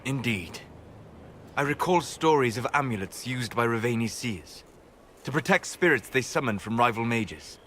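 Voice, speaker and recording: An actor playing a calm, learned male elf mage who talks in a precise, formal way. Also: mid-range voice, normal speed, a little hiss or hum, lightly acted. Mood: scholarly.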